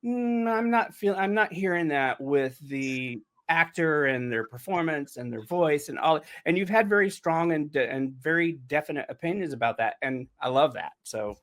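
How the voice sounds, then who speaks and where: Higher-voiced man hosting a casual chat. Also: lively intonation, moderate pace, few pauses. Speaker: podcast host and writer.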